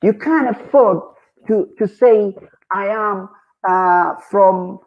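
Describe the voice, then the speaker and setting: A man's voice: high-pitched, takes it slowly. A man giving an earnest, exhorting community talk as a guest speaker, repeating phrases as he presses his point. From Eritrea. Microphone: video call. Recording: tinny phone-like online call audio.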